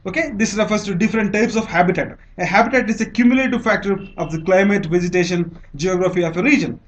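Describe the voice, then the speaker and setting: High-pitched Indian man giving a lecture. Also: fast, a little hiss or hum. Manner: didactic, repeats and restates phrases, frequent "okay" checks. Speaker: teacher, lecturer. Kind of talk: explanatory exam-prep lecture.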